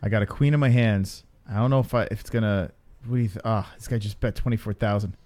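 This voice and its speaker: A man's voice: lowish voice, steady tone, fast, darkish tone. A man co-hosting a show in casual chat, sounding distracted as if multitasking. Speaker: co-host.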